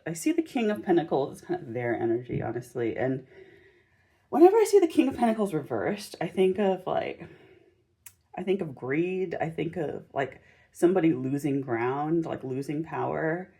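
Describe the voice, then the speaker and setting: A woman hosting a casual, chatty tarot reading in a gentle, encouraging and informal manner, with a slightly husky voice. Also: low voice. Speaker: tarot reader and channel host.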